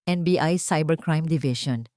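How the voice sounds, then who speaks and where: A woman's voice: sits low, normal speed, a little mellow, no echo, studio quality, close to an everyday voice. Woman acting a firm male police agent. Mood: stern. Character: firm male cybercrime agent making an arrest.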